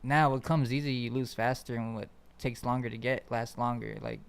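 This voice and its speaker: A man's voice: medium pitch, little pitch movement, gravelly, clear, resonant tone. A man in his twenties chatting casually on a podcast, slangy and loose, with lots of "like". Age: 20s. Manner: slangy, fast, repeats "like" often.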